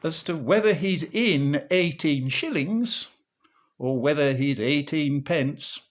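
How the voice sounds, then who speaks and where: A man reciting a comic verse monologue in a theatrical, measured storytelling manner. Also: a little high, lively intonation. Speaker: monologue performer.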